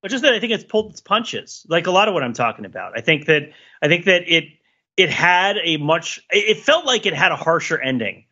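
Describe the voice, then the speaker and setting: Man, forceful bright tone, rambling emphatic analysis, rhetorical questions, casual panel talk, slightly dull recording. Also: mid-range voice. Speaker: podcast panelist.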